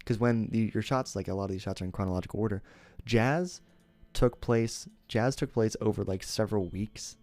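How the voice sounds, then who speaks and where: A man's voice: fairly low pitch, moderate pace, darkish tone, no echo. A young man streaming, chatting casually and rambling, often correcting himself and laughing.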